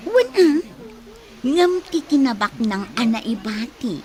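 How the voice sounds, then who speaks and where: A woman acting as a carefree village schemer in an Ilocano radio drama. Her Ilocano-accented voice is high and swings up and down, delivered slowly, with some noise behind it. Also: very animated, darkish tone. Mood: gleeful.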